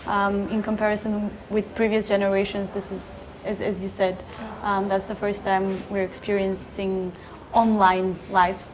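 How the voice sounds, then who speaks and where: A woman in her twenties from Bulgaria, a master's student speaking as a panelist, relaxed with frequent ums. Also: mid-range voice, normal speed, slight background noise, poor audio. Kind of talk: casual round-table discussion.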